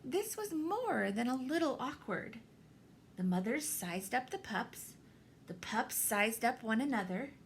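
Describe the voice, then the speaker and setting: High, expressive woman reading a storybook. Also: slight background noise. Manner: theatrical, deliberate pauses and emphasis. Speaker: storybook reader, teacher. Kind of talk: expressive read-aloud of a children's picture book.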